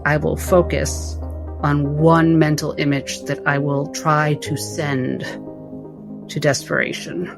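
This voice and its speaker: A woman playing a tabletop roleplaying game, describing her character's actions in measured, intense, halting phrases. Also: sits low, level tone, gravelly. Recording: voice over background music.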